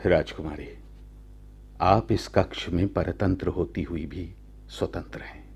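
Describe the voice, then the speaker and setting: A man playing a proud, lovestruck royal commander of ancient India in a radio drama, speaking in measured, halting, formal courtly phrases. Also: low-pitched, steady tone, darkish tone, a little hiss or hum. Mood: tender, wooing. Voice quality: gravelly, husky. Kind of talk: slow, theatrical Hindi radio drama acting.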